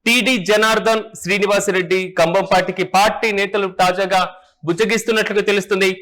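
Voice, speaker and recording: A man's voice: high voice, fairly even intonation, quick pace, fairly bright tone. A man presenting news and political analysis in a formal, repetitive manner.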